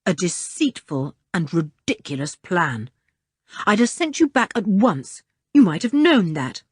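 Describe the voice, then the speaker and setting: A woman's voice: medium pitch, wildly swooping pitch, unhurried, slightly rough, dry recording, clean studio sound, full character voice. Woman doing a stern, scornful boy leader. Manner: level and commanding, scornful. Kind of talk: audiobook character reading.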